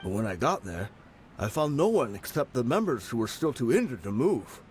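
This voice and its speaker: An actor voicing a laid-back male mercenary, calm and accepting in his delivery. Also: mid-range voice, normal speed, slight background noise, natural voice. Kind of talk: game voice acting.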